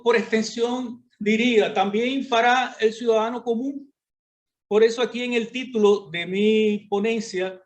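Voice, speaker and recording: A high-pitched man speaks slowly in Spanish colored by Portuguese, pausing often with filler sounds. He delivers an academic lecture over a video call, measured and unhurried. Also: a little mellow. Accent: Portuguese-influenced Spanish. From Brazil. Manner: halting, many filler sounds.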